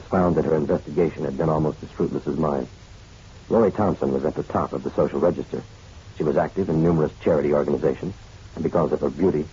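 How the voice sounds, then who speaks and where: A man's voice: a bass voice, dark tone, breathy edge, exaggerated voice. A man playing a dry, wry private detective in a hardboiled radio drama, speaking in clipped, matter-of-fact lines. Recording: dull radio recording with music behind.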